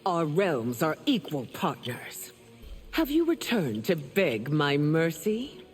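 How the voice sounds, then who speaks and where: A proud empress from a fantasy game. Her regal, stern delivery moves widely in pitch. It carries a long hall reverb with music underneath. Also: sing-song, slow pace, heavily acted. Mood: scornful. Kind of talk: video game dramatic acting.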